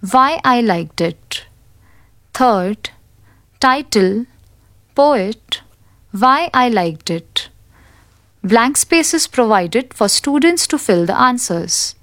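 A woman reading a school textbook aloud for an audiobook, in a careful, deliberate way. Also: a little high, dry recording, studio quality. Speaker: audiobook narrator.

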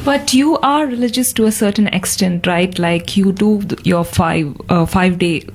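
A woman's voice: lowish voice, moderate pace, slightly rough. A twenty-two-year-old woman speaking conversationally, putting questions in a group discussion.

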